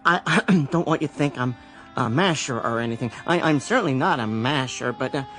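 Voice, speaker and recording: A man's voice: medium pitch, lively intonation, a little fast, fairly bright tone, soft background music, natural voice. An actor playing a timid, awkward American salesman in a radio drama, stammering, hesitant and rambling apologetically. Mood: nervous, apologetic.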